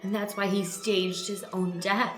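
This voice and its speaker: A woman's voice: medium pitch, steady tone, a little slow, natural voice. Anxious accused defendant, played by a woman, roomy echo. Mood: realizing. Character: Moog, casual, anxious accused murder defendant. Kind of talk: improvised radio play acting. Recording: echoey room, music behind.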